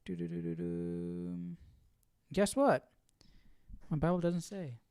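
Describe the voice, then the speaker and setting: A man's voice: high-pitched, sing-song, slow, gravelly, warm tone, clean tone. A man hosting a casual live Bible study, thinking aloud, questioning and often repeating phrases.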